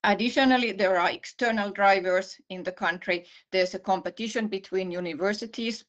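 A middle-aged woman with a Finnish accent gives an online presentation as a university vice-dean, speaking in a measured way with many pauses and restarts. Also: medium pitch. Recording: slightly muffled video call audio.

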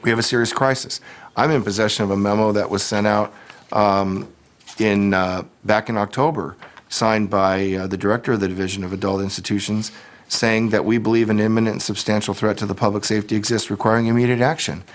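A man with a low voice speaks earnestly and with concern. He hesitates with filler words as he goes. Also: fairly even intonation, a little fast.

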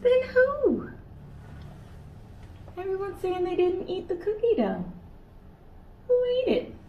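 A woman's voice: very high voice, big pitch swings, slow pace. A young adult woman, a children's librarian, reading a picture book aloud to kids in a playful sing-song way, from a small indoor room. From United States. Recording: slightly distant, dull, faint hiss.

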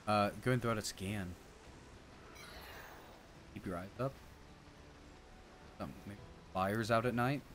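A man's voice: lowish voice, slow pace, pauses often, fairly bright tone, slight background noise. A man in his thirties giving casual, playful, slangy video game commentary alongside a co-host. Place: indoor streaming setup. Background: game music.

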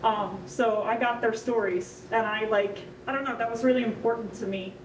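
A woman's voice: steady tone, moderate pace. A woman giving informal, heartfelt remarks as a guest, hesitant and sincere. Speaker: guest, project interviewer. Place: indoor rehearsal hall, on stage. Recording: echoey, distant, noisy room with rumble.

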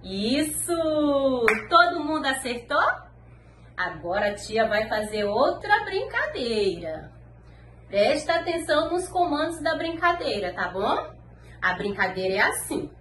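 A Brazilian woman in her thirties, a preschool teacher speaking slowly and encouragingly to small children in an online lesson. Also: high voice, big pitch swings, fairly bright tone. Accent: Brazilian Portuguese. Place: classroom wall with posters, indoors. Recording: echoey room, faint background noise.

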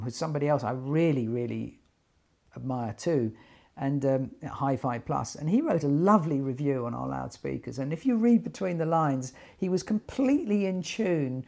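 A man's voice: a little high, vocal fry, darkish tone. An older man telling his story informally to camera, animatedly retelling conversations and sometimes repeating words as he goes. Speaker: loudspeaker designer telling company story. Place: small attic sitting room, indoors.

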